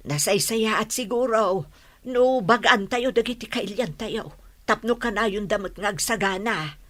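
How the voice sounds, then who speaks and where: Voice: medium pitch, a little slow, fairly bright tone, no echo, close to an everyday voice. A performer with an Ilocano accent playing a resigned villager in an earnest radio drama conversation. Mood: worried, cautious. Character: resigned villager talking over troubles with a kinsman. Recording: noisy recording with clicks.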